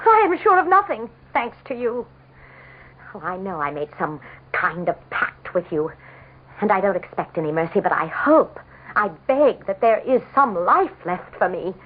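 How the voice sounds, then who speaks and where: Vain scheming wife, husky, anguished, imperious, melodramatic radio drama, muffled old recording. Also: female voice, mid-range voice, big pitch swings, normal speed. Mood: desperate pleading. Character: vain, desperate, scheming governor's wife bargaining with Devil. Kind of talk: melodramatic radio drama acting and first-person narration. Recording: muffled lo-fi vintage radio broadcast.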